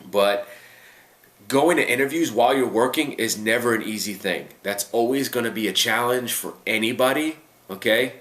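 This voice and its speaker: A man's voice: mid-range voice, normal speed, fairly bright tone. A man hosting an informal advice Q&A, explaining things step by step in an emphatic, instructive way and often checking in with the listener. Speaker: host of a career advice Q&A show. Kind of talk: informal unscripted advice talk. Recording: echoey, roomy sound.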